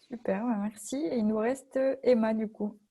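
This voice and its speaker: A woman's voice: on the high side, steady tone, normal speed, mellow, breathy edge. A woman casually hosting an online meetup over a video call.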